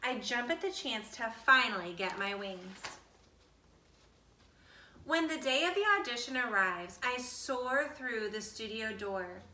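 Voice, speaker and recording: A woman reading a children's picture book aloud expressively, with deliberate, dramatic phrasing. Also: fairly high pitch, expressive, sharp tone, a little hiss or hum. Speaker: storybook reader. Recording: roomy echo, distant from the mic.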